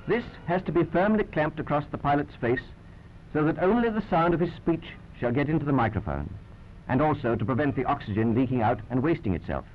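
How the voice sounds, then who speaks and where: A man's voice: on the high side, animated, brisk, dull audio, faint music behind. A British man narrating a 1940s wartime newsreel in a wry, clipped, understated manner, on an old film soundtrack.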